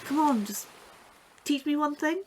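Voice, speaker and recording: An actress playing an anxious, inquisitive young scientist who keeps pestering a coworker with questions. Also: female voice, sits high, unhurried, a little hiss or hum.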